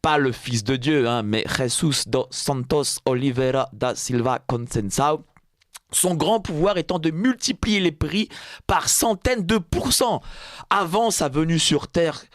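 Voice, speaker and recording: A man's voice: fairly high pitch, animated, unhurried, no echo. A man with a French accent giving a satirical commentary in a radio studio, sarcastic and mock-solemn, laughing at his own jokes. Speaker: radio columnist.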